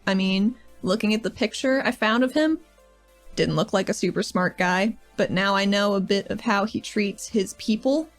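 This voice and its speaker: A woman's voice: mid-range voice, normal speed, faint music behind. A woman playing her character in a tabletop game, speaking in a casual, rambling way as she recaps events. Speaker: player.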